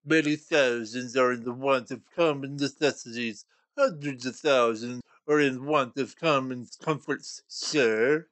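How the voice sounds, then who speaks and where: A man voicing a polite middle-aged gentleman collecting for charity, formal and courteous. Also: medium pitch, a little slow, dry recording, close to an everyday voice. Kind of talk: storybook reading.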